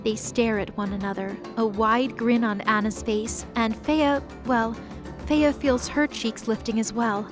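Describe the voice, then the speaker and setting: A woman narrates an audio drama in a steady, scripted storytelling voice. Her pitch sits a little above the usual, and a clear music bed plays beneath her. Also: measured pace. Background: background music.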